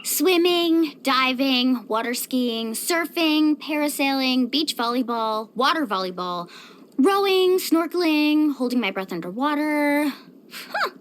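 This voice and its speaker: A woman voicing an upbeat, caring, sporty young surfer in a video game, speaking in a peppy, expressive, friendly way. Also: high-pitched, a little hiss or hum. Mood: cheerful, chatty. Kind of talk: scripted video game voice acting.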